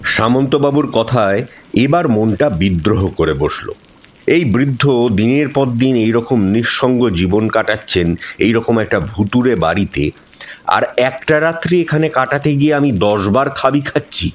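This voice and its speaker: A man's voice: mid-range voice, animated, normal speed. A man giving a dramatic scripted first-person narration of a ghost story.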